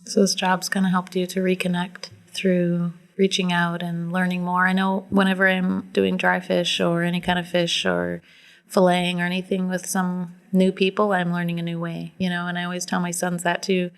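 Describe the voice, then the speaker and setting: A woman's voice: fairly low pitch, monotone, normal speed, creaky. A woman in her forties from Alaska, chatting casually in an informal, encouraging way. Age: 40s. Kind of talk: casual conversation.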